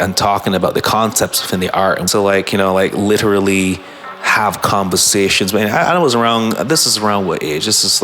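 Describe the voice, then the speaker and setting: A man from Barbados, a guest musician, talking in a relaxed, reflective way, halting with many restarts and fillers. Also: lowish voice. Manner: halting, full of restarts and "you know, like".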